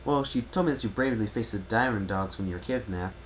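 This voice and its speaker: A man's voice: fairly low pitch, fast talker, lightly acted. A man playing a young male pony traveler who talks in a polite, casual, slightly hesitant and reserved way. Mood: friendly, earnest. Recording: noisy amateur home recording.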